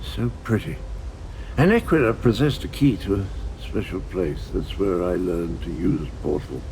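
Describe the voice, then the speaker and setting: An actor playing a gentle, muddled old undead knight in a video game, fading and speaking haltingly with a creaky, rueful voice. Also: male voice, low voice, noisy background. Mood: wistful. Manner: halting, wistful, rambling. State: fading, weakening. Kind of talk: video game character dialogue.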